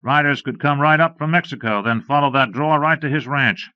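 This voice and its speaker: A man's voice: fairly high pitch, fairly quick, clean tone, no echo, pitched above the performer's own voice, natural voice. A man voicing a stern, terse Texas Ranger in a Western audiobook, with commanding, clipped delivery. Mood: matter-of-fact. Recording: dull lo-fi cassette transfer.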